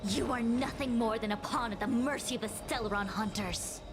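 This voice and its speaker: An actress playing an imperious seer possessed by a spirit, coldly fatalistic and condescending, in dramatic video game style. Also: female voice, on the high side, moderate pace, noisy background, lightly acted. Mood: cold disdain. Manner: declamatory, condescending.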